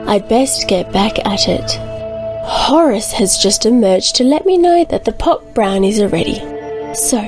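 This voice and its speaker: A woman playing a gushing, credulous new-age devotee in an earnest, airy comedic audio monologue. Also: fairly high pitch. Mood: cheerful, airy.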